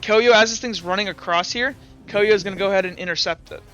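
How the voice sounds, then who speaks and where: A man's voice: sits high, quick pace, pushed and bright, clear, resonant tone, soft background music, poor audio. A man running a tabletop game as game master, talking through the rules and dice aloud and often correcting himself. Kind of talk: narrating and refereeing a tabletop game.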